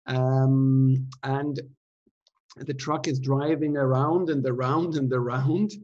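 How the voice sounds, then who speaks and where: A man in his forties, a theatre director, giving a relaxed talk over a video call from a room at home, explaining with many ums and uhs. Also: medium pitch, slow pace, dull audio. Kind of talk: casual online talk. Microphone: laptop webcam video call.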